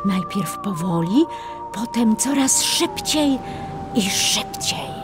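Woman narrator, unhurried, music behind, expressive suspenseful children's storytelling. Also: mid-range voice.

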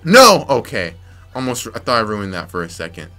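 Young male let's-player, raspy from yelling, bright voice, faint game music underneath. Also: mid-range voice, huge pitch swings, normal speed. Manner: frustrated, muttering to himself, sudden yelps. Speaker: gameplay commentator. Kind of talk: let's play commentary.

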